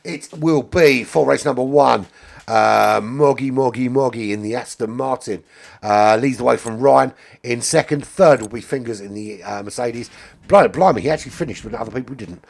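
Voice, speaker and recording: A middle-aged British man with a hoarse voice who is losing it, commentating a live sim race in a chatty, rambling way with casual swearing. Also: medium pitch, normal speed.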